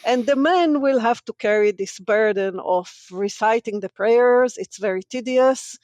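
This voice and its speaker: A woman's voice: on the high side, measured pace, darkish tone, no echo. Israeli-born woman, dry close voice. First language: Hebrew. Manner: thoughtful, laughs often between points, with hesitant restarts. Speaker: podcast guest, senior lecturer in religious studies. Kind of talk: casual interview talk.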